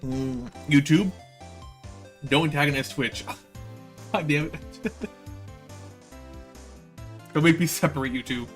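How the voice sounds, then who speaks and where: A man's voice: a little high, slow pace, pauses often, faint music behind. A man livestreaming with slangy, playful, rambling casual chat to his viewers. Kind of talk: casual livestream chat, reading visual novel aloud.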